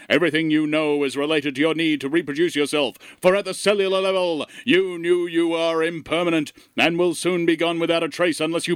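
A man's voice: a little high, clean tone, no room sound, studio quality, natural voice. A man playing a pompous, morbid disembodied alien intelligence in a comedy radio play, speaking with grandiose, solemn disdain.

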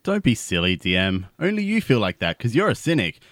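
A man's voice: medium pitch, huge pitch swings, fairly quick, fairly bright tone, dry recording. A man playing a self-assured, smug character in a comedy audio drama. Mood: smug, scoffing.

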